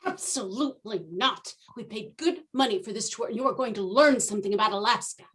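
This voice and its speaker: A woman's voice: fairly high pitch, brisk, fairly bright tone, husky. An actress in a stage play playing an impatient older tourist who scolds and urges her companion along. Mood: impatient, bossy. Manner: scolding, urging. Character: bossy, impatient older female tourist, eager sightseer.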